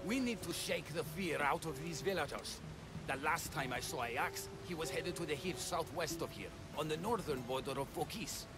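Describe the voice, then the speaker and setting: Man as high-pitched, exasperated Greek village leader. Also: normal speed, over loud music, lightly acted. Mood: sardonic, pragmatic. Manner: blunt, sardonic. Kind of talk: video game cutscene acting.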